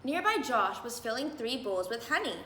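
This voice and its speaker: A woman's voice: fairly high pitch, big pitch swings, normal speed, sharp tone. A woman reading a children's storybook aloud as narrator. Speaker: storybook reader. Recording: roomy echo, faint background noise.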